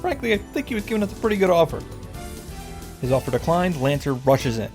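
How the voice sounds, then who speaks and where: Higher-voiced man narrating wryly over music. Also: very animated, quick pace, darkish tone. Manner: wry, profane, mock-grandiose. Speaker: visual novel reactor and narrator. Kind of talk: scripted comedic recap commentary. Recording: background music under the voice.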